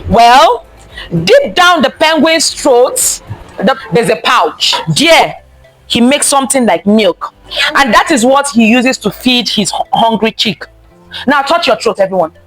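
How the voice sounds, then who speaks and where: A woman teacher leads an interactive story time in a high voice with very lively intonation. She reads expressively to young children, repeats key phrases and keeps putting questions to them. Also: a little slow, dry recording, faint music behind, poor audio. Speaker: teacher reading a picture book aloud to children.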